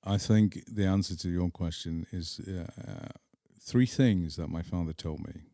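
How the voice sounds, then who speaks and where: A middle-aged man with an English accent telling personal stories as an interview guest, deliberate and emphatic, pausing between phrases. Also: sits low, gravelly, warm tone, no room sound, clean studio sound. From England. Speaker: podcast guest. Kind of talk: reflective personal storytelling in an interview.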